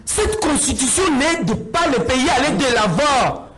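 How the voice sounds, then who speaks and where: High-voiced, animated Congolese man arguing insistently. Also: moderate pace, over music. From Congo (DRC). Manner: insistent, repetitive, argumentative. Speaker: political debate guest. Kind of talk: heated political debate.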